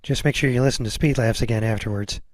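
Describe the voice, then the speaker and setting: A man's voice: mid-range voice, quick pace, no echo. A man hosting a podcast, chatting casually with his guest in a conversational interviewing style. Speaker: podcast host.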